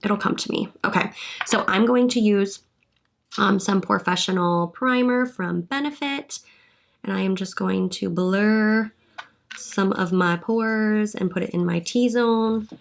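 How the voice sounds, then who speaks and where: A woman's voice: medium pitch, measured pace, vocal fry. A young woman vlogger chatting casually through a hair and makeup tutorial, a little congested from a cold. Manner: chatty, upbeat, thinking aloud while working. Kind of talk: casual get-ready-with-me tutorial.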